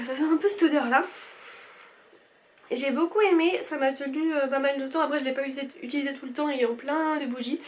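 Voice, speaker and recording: Stuffy-nosed young woman, high voice. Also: slight background noise. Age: 20s. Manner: chatty, rambling, many fillers and self-corrections. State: stuffy, blocked nose from a cold. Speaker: beauty vlogger. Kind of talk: casual product review chat.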